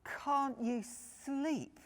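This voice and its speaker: A woman's voice: sits high, extremely slow, big-room sound, pitched above the performer's own voice. A woman voicing a big, patient, caring male bear in a children's storybook reading. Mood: weary, puzzled.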